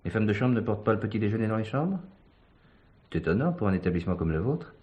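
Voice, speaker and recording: A man's voice: fairly low pitch, sing-song, fast talker, muffled recording. A man playing a probing police commissioner with a dry, sardonic manner in a French play. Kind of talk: French radio play acting.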